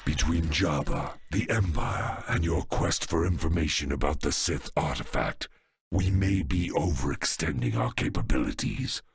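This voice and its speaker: A man playing a grave, cautious alien adviser in a video game, gravelly, speaking in a measured, formal, precise way. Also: rumbling low, exaggerated voice. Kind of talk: cinematic video game acting.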